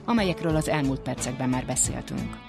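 A woman's voice: very deep voice, creaky, loud music behind the voice. A woman presenting a radio science programme, reading narration and putting questions. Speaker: radio host and interviewer.

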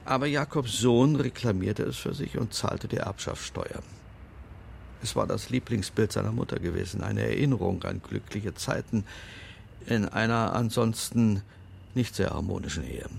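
Discreet older gentleman, low gravelly voice, measured and formal, radio play, faint background noise. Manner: measured, formal, pausing between phrases. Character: formal, discreet older male confidant recounting family secrets. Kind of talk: radio drama dialogue.

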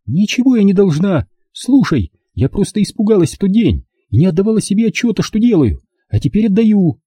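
Man doing a defiant, high-voiced grown daughter. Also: sing-song, brisk, mellow, husky, no echo, exaggerated voice. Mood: defiant, annoyed. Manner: curt, argumentative. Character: defiant, stubborn grown daughter, weary and defensive. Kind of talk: audiobook character dialogue.

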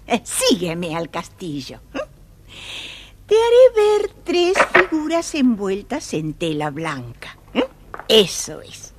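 A woman's voice: a little high, a little hiss or hum, exaggerated voice. A woman voicing a slyly menacing old witch with a theatrical, mocking delivery in a children's audio storybook. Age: older.

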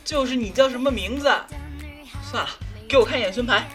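A woman's voice: on the high side, fairly quick, fairly bright tone, soft background music, lightly acted. A woman playing a cocky, hot-tempered young man in an audio drama, whiny, mocking and foul-mouthed. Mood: cheeky, pestering.

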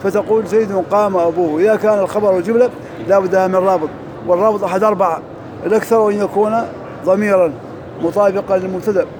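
A man teaching Arabic grammar in a mosque, drilling through examples quickly and reciting verses slowly. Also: high-pitched, steady tone, crowd noise. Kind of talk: lesson parsing grammar and verse.

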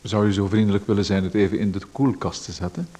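A man's voice: lowish voice, steady tone, fast, warm tone, a little hiss or hum, close to an everyday voice. A man playing a pushy, nosy stranger in a radio play, who makes himself at home and asks for one thing after another. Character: pushy male stranger named Macon, nosy and overbearing.

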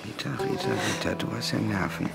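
Brief: a journalist, very deep gravelly voice, hushed reading. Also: male voice, fairly even intonation, fairly quick, loud music behind the voice. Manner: low, muttered, reads articles aloud. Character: journalist Johannes Schwarz, dry and worldly. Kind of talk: radio drama acting.

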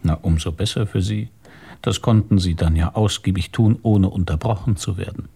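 A man playing a measured, probing police inspector in a radio drama, husky and deliberate in his speech. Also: sits low, mellow, full character voice. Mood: probing, dry. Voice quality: husky, gravelly.

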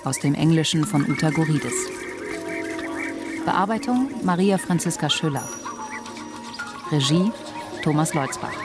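A woman's voice: deep voice, expressive, a little slow, long pauses. A woman reading scripted radio drama credits as an announcer. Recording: music behind the voice.

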